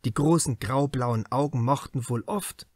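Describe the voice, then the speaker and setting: A man's voice: medium pitch, a little slow, a little mellow, no room sound, clean studio sound. A man reading a crime novel as a scripted literary audiobook narration.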